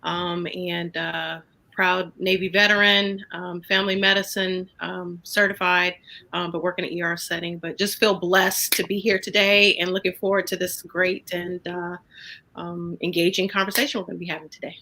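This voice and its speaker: A woman's voice: on the low side, little pitch movement, normal speed, creaky, sharp tone. A woman doctor from Georgia giving health updates on a panel, hesitant, with many fillers. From Georgia, USA. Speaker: family physician. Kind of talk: panel discussion.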